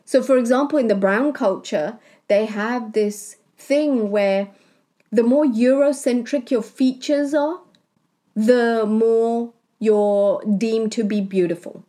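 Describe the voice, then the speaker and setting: A woman from Kenya, a spiritual healer, talking in a slow, deliberate monologue that she punctuates with "right?". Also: a little high, mellow. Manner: slow, deliberate, with tag questions. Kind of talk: reflective monologue.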